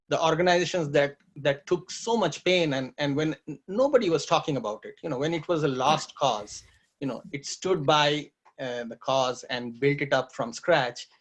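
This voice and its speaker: A man co-hosting a talk show, explaining his points in a measured way. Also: on the high side. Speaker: co-host. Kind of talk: conversational talk show discussion.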